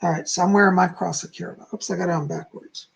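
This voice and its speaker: A calm, soft-spoken man who is hoarse and losing his voice, teaching on a livestream and reading aloud from a book. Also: high voice, very fast. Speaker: psychic teaching about folk magic.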